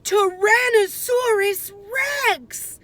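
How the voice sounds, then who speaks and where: A woman voicing an anxious, excitable young boy in a children's storybook, with urgent exclamations. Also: very high voice, very slow, pitched above the performer's own voice, exaggerated voice. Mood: alarmed.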